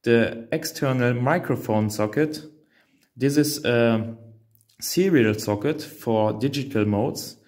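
A man's voice: medium pitch, slow pace, a little mellow. A man whose native language is German gives an unscripted hands-on product walkthrough, hesitantly thinking aloud. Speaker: reviewer demonstrating a radio transceiver.

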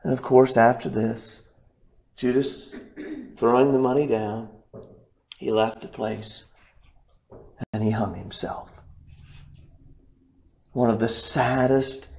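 A young man preaching a sermon at a church pulpit, swinging from loud, raised lines to low, quiet ones, picked up by a distant camera. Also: takes it slowly, creaky, long pauses.

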